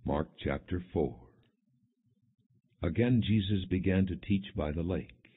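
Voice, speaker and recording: Deep-voiced man reading scripture. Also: slow pace, husky. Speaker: audiobook narrator. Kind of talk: scripted narration, non-dramatized scripture reading. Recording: dull, muffled low-fidelity audio.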